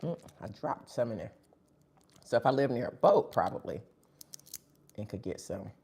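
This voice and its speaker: Live-stream host, husky and dark-toned, sniffly, chatty eating banter. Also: medium pitch, very animated, moderate pace. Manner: chatty, talks between bites. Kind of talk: casual live-stream chat while eating.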